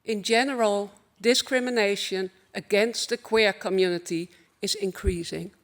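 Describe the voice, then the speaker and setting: A woman with a Dutch accent giving a formal opening keynote at a conference, earnest and measured, in short phrases. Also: mid-range voice. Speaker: conference speaker.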